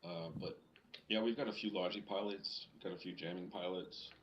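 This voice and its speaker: Very deep-voiced man on muffled chat. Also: steady tone, fairly quick. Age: 30s. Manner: hesitant, trailing off, casual swearing. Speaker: gamer in voice chat. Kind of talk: casual voice chat. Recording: muffled voice chat with faint hiss.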